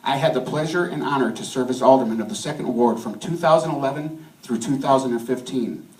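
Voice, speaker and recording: A man's voice: medium pitch, fairly quick, a little mellow. A man from Illinois reading a prepared statement as a candidate for mayor, earnest and a little stumbling. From Peru, Illinois. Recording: echoey hall from far off.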